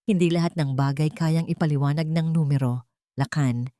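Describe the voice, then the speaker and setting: A woman narrator voicing a gentle, loving dying wife in an audio drama, with a soft, weak voice. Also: low voice, steady tone, moderate pace, dry recording, clean studio sound, lightly acted. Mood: tender, earnest.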